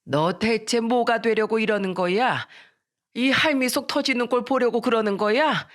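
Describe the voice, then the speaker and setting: A woman's voice: on the high side, normal speed, pitched above the performer's own voice, close to an everyday voice. A woman voicing a long-suffering old grandmother in a dramatized story, reproachful and lamenting. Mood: shocked, scolding.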